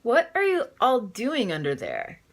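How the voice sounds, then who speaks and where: A woman's voice: fairly high pitch, huge pitch swings, a little slow, voice pushed up, exaggerated voice. A woman voicing a cheeky, fearless tiny girl in a children's storybook. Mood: bold, cheeky. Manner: cheeky, bold.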